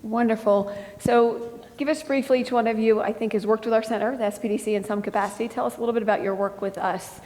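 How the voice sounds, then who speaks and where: A woman's voice: a little high, steady tone, quick pace, few pauses, a little mellow. A woman moderating a student panel, prompting each speaker with encouraging questions. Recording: long hall reverb.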